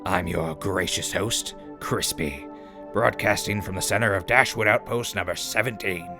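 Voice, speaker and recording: A man's voice: sits low, normal speed, over music. A man playing a wry, cheeky wasteland radio host with folksy, mock-gracious announcer patter. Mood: upbeat. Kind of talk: scripted in-character radio broadcast.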